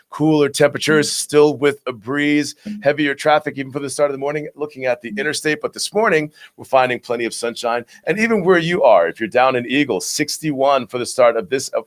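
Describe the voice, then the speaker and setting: A man with a raspy voice hosting a live local morning show, chatting casually through the weather with frequent restarts. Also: medium pitch. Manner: chatty, rambling delivery with restarts.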